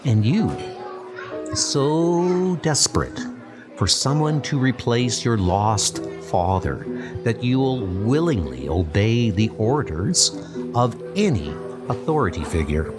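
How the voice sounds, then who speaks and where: A man voicing a scheming, seductive sorceress in a comic book dub, speaking in a drawn-out, persuasive way. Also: medium pitch, very animated. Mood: manipulative. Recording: music bed under the voice.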